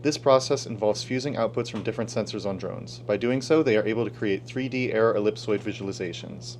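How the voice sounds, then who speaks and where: A man's voice: lowish voice, a little fast, a little mellow. A teenage boy from Pennsylvania giving a scripted student research presentation. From Pennsylvania, United States. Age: teens. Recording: clear background hum and noise.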